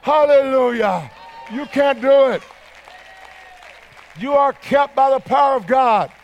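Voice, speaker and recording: Man, preacher, very high voice, emphatic sermon, repeats lines, faint congregation. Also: takes it slowly, lo-fi sound, a faint crowd, slight background noise. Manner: emphatic, repeats key phrases, sometimes shouts.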